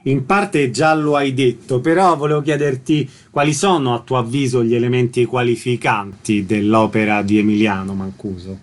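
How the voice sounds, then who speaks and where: A man's voice: medium pitch, fairly even intonation, normal speed, few pauses. A man hosting a radio interview, putting questions and announcements in orderly, formal phrasing.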